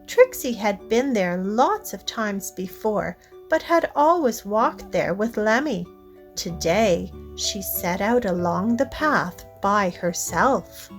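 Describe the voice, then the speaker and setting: A woman narrating a children's storybook read-aloud in a deliberate way, addressing young listeners directly. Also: mid-range voice, big pitch swings, faint music behind.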